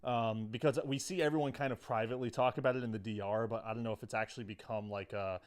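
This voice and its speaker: A man's voice: mid-range voice, steady tone, quick pace. A man co-hosting a recap podcast in casual chat, with wry, sweary banter. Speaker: podcast co-host. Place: studio.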